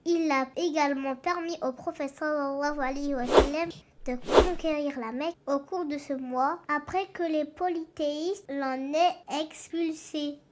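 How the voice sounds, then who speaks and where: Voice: high-pitched, fairly even intonation, normal speed, slight background noise, natural voice. A performer voicing an earnest, pious young child who teaches and encourages in an educational cartoon, phrasing things in a didactic way. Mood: earnest, teaching. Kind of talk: scripted educational cartoon dialogue.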